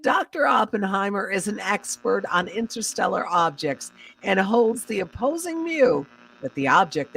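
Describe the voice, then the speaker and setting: A woman's voice: medium pitch, fairly bright tone, far from the mic, slight background noise, poor audio. An actress playing a composed female radio news announcer, delivering bulletins formally in an old-time radio drama.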